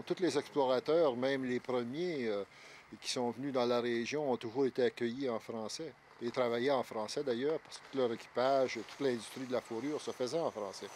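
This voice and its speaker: A man in his sixties with a Québécois accent, speaking in a measured, halting way with many fillers in a documentary interview. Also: medium pitch, darkish tone, a little hiss or hum. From Quebec, Outaouais. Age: 60s. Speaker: interviewee, longtime local resident.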